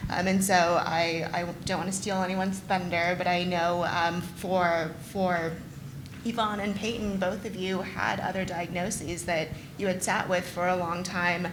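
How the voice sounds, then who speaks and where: A woman's voice: fairly low pitch, little pitch movement, a little slow, noisy background. A woman neurologist explaining things to patients at a live panel, thoughtful and hesitant, with many ums. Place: event venue in front of an audience.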